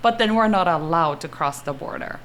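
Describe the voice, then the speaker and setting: A woman's voice: deep voice, animated, moderate pace, a bit bright, a little hiss or hum. A middle-aged woman with a Japanese accent, a guest on a podcast talking casually and unhurriedly, laughing often between phrases, into a desk mic in a small studio. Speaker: podcast guest, athletic trainer. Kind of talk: casual interview chat. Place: small home studio, indoors. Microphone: podcast mic on a desk stand.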